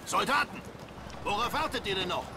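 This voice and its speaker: An actor playing an impatient army officer barking brusque commands in a dubbed video game. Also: male voice, sits high, animated, a little fast, gravelly, background noise.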